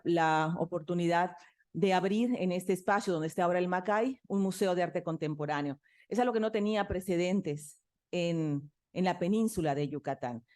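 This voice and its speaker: A woman's voice: on the low side, darkish tone. A woman with a Mexican Spanish accent, a former culture reporter, recounting history at a conference. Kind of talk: conference talk.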